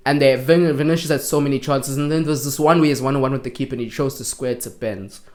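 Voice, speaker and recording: A man co-hosting a podcast, telling stories in an animated, slangy way. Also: mid-range voice, fast. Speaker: podcast co-host. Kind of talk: casual chat.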